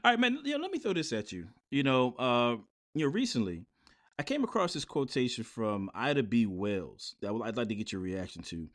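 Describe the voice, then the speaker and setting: A man's voice: medium pitch, big pitch swings, fairly quick, a bit bright. A man hosting a podcast, reading a scripted opening and then chatting casually and easily with his guest. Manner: friendly, easygoing, laughs along. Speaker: podcast host.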